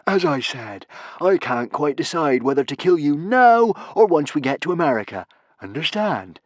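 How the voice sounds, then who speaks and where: Bellowing, high-pitched maniac Viking villain. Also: male voice, big pitch swings, no room sound. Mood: delighted menace. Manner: bellowing, drawn-out, grandiose. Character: maniacal gloating male Viking villain, bloodthirsty madman.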